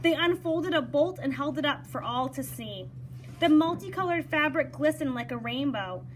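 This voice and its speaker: A woman reading a children's story aloud in an animated way, acting out dialogue and pausing to explain words. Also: high-pitched, noisy background. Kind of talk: expressive read-aloud with brief explanations for children.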